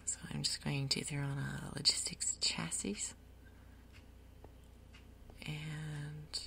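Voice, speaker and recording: A woman giving soft, breathy, whispered ASMR commentary on a game, murmuring her thoughts aloud in an unhurried way. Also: sits low, little pitch movement, a little hiss or hum. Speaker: gaming video host.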